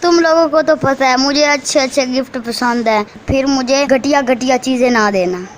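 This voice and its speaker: A high, childlike voice for a rich little boy sparrow in a children's cartoon, acted by a woman. He is boastful, spoiled and snobbish, showing off as he talks. Faint noise sits under the recording. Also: moderate pace, lightly acted. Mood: scornful.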